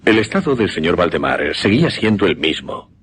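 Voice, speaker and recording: Deep-voiced, intense Castilian mesmerist. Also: male voice, big pitch swings, fairly bright tone, a little hiss or hum. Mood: solemn, deliberate. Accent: Castilian Spanish. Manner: deliberate, dramatic pauses, emphatic repetition. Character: intense male mesmerist recounting his macabre experiment. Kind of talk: suspense radio drama, theatrical storytelling.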